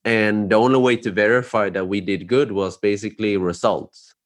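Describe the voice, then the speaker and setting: Low-voiced Swedish man asking rambling questions. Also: fairly even intonation, moderate pace. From Sweden. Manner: curious, rambling questions full of "like" and "you know". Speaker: podcast host. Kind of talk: casual interview chat.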